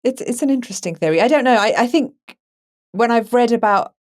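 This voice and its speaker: A woman's voice: a little high, lively intonation, brisk, a little mellow. A woman co-hosting a podcast, talking through a film in a casual, thoughtful and conversational way. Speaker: podcast co-host.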